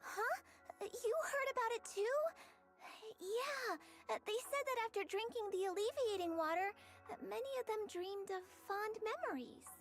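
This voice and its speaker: Game role: very high-pitched, earnest young heroine, soft music underneath. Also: female voice, expressive. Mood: curious. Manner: curious and earnest, quick to worry. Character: bright, eager young woman investigator. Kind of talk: video game voice acting.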